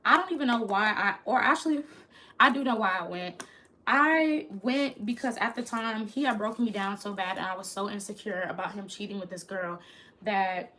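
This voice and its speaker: Young woman, crackly voice, candid chatty storyteller, mid pitch. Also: moderate pace, bright, forceful tone. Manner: chatty, candid, talks to viewers as "y'all". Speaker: YouTuber telling a story. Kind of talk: casual storytime vlog.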